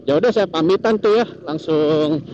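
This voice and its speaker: High-voiced man vlogging over loud road noise. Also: moderate pace, muffled recording. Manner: chatty, repetitive tag phrases, chuckles often. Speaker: motovlogger host. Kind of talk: casual storytelling vlog to viewers. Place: outdoors, riding a motorcycle in traffic.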